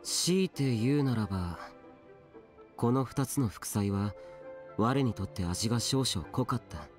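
A man's voice: mid-range voice, lively intonation, measured pace, close to an everyday voice. A solemn, aloof young immortal from an anime game, acted by a man. His mid-range voice is terse and reserved, with stern, archaic phrasing, over faint background music. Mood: calm, frank. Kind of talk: Japanese anime game voice acting.

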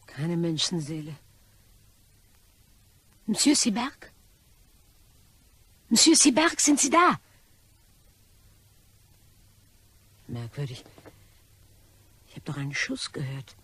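Woman acting a very husky, scornful schemer. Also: fairly low pitch, sing-song, takes it slowly, long pauses, fairly bright tone, exaggerated voice. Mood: puzzled, startled. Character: callous, scornful, opportunistic schemer short of money. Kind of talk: German crime radio play acting.

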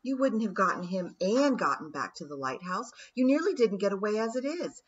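A woman voicing a young girl's sharp, practical inner voice, speaking urgently and insistently in an audiobook. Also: medium pitch, sing-song, a little fast, far from the mic, muffled recording, close to an everyday voice.

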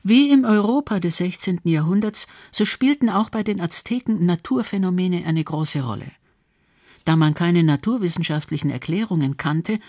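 A woman's voice: lowish voice, darkish tone, dry recording, studio quality. A woman reading scripted documentary narration.

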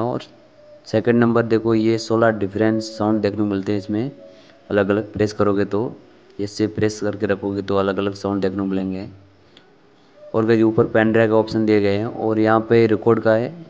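A man's voice: lowish voice, flat delivery, moderate pace, darkish tone, lo-fi sound, slight background noise. An Indian man narrating an unboxing and product demonstration, explaining informally step by step and repeating himself as he addresses viewers. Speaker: product review vlogger.

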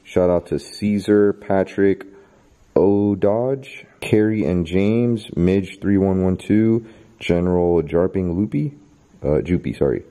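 A man in his thirties hosting a vlog, chatting to the camera and reading off viewer names while exploring a dark abandoned stadium at night. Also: deep voice, slow pace. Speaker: YouTube host. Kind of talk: casual vlog narration. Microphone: handheld camera held close.

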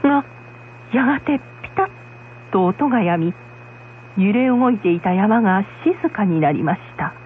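A woman's voice: mid-range voice, animated, moderate pace, pauses often, slight background noise. A woman narrator reading a scripted folktale in storytelling style. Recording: muffled lo-fi radio sound.

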